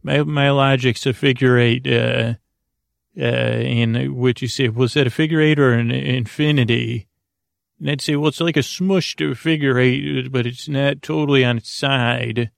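A man with a very creaky, low-key voice hosts a bedtime podcast, recorded close and dry. He rambles soothingly and haltingly, drifting off into digressions and circling back. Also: fairly even intonation, dry recording. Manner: lulling, soothing. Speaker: podcast host.

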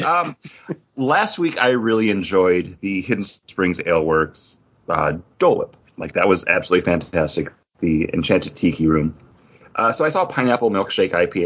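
A man's voice: low voice, animated, normal speed, a bit bright, husky. A man talking casually as a podcast host, in dull lo-fi audio. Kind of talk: casual chat.

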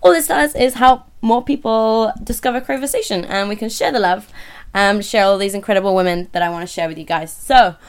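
Croaky bubbly woman hosting. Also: mid-range voice, fairly quick. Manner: bubbly, rambling, swears casually. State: hoarse from illness. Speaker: podcast host. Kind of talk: casual podcast chat.

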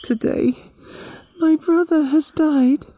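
A man voicing a grieving young woman who weeps for her brother, in a high, soft, tearful voice, as part of a children's story. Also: fairly even intonation, slow, background noise.

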